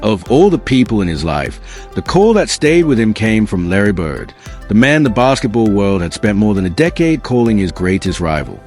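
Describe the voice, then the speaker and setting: A man's voice: on the low side, very animated, normal speed, a little mellow, soft background music. A man reading scripted documentary narration in an earnest, dramatic storytelling manner.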